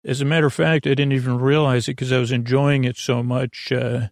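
A man hosting a sleep podcast in a creaky voice, with a meandering, halting monologue full of repeats and tangents. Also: medium pitch, little pitch movement, dry recording, studio quality. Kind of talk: rambling bedtime monologue.

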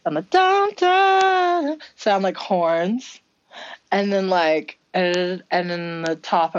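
Giggly low-voiced woman, dull dry sound. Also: dry recording. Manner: giggly, rambling with many fillers and restarts. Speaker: musician guest. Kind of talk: casual interview chat.

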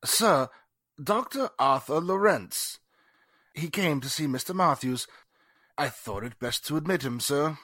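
A stiffly formal butler in a vintage radio mystery. He speaks slowly and deferentially, with stately swoops in pitch. The recording is dry. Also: lively intonation, sharp tone, no echo, full character voice. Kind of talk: 1940s radio drama acting.

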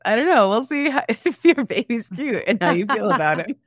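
A woman's voice: on the high side, expressive, brisk, no echo, clean studio sound. A young woman co-hosting a podcast in informal, unscripted conversation with a friend. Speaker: podcast co-host. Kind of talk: casual unscripted chat with a friend.

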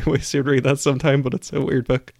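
Man, podcast co-host, casual book chat, medium pitch, very dry recording. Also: little pitch movement, moderate pace, creaky, a little mellow, dry recording.

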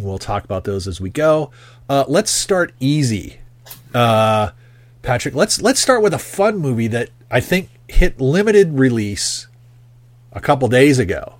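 A man's voice: mid-range voice, dry recording. Stuffed-up man hosting a movie podcast. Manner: friendly, easygoing, frequent uh fillers. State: stuffed-up nasal voice after nose surgery. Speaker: podcast host. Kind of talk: casual movie podcast chat.

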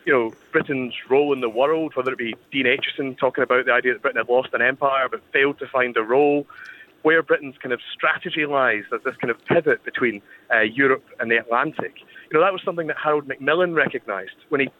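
A man with a Scottish accent, a historian guest explaining history on the radio in a measured, thoughtful way with hesitant pauses. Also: mid-range voice. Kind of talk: conversational expert explanation on radio. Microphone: phone line. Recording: tinny phone line with faint noise.

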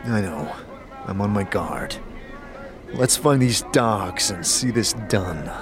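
A determined, grim wanderer acted by a man speaks tersely and low, in the manner of a fantasy audio drama hero. Loud music runs underneath. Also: animated, takes it slowly. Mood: grim, guarded.

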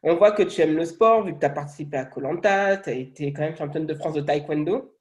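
A man's voice: fairly high pitch, fast talker. A man in his thirties hosting a podcast interview over a video call. He chats casually, with many hedges and fillers. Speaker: podcast host.

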